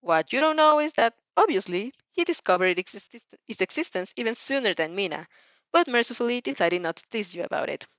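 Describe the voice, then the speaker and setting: Lively woman reading aloud, dull lo-fi sound. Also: fairly high pitch, brisk, no echo. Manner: giggly, stumbles over words and rereads. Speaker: fan fiction reader and reactor. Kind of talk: expressive read-aloud of fan fiction with casual reactions.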